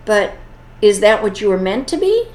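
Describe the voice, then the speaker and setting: A woman's voice: mid-range voice, very animated, moderate pace, a little mellow, close to an everyday voice. A woman voicing an earnest male eagle who urges a young bird to fly. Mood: urging. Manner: earnest, urging. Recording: echoey room.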